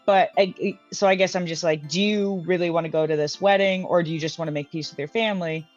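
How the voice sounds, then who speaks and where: A woman with a somewhat low pitch talks casually at a tabletop game, thinking out loud and restarting her sentences. The mic is dull and dry, and soft music plays underneath. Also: fairly even intonation, fast talker. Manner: thinks aloud with many restarts and fillers. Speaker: player. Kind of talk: casual tabletop planning chat.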